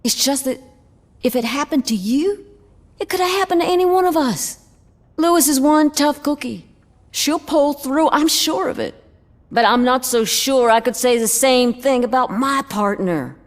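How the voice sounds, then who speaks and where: A woman's voice: high-pitched, normal speed, lightly acted. A woman playing a tough but shaken police officer in a video game, plain-spoken and guarded. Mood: shaken, worried.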